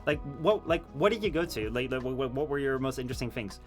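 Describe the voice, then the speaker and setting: A man's voice: medium pitch, lively intonation, fast, soft background music. A tired young man, a Dutch native speaker, chatting casually and rambling with his live-stream chat, full of fillers and restarts. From Netherlands. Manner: rambling, many fillers and restarts, laughs often.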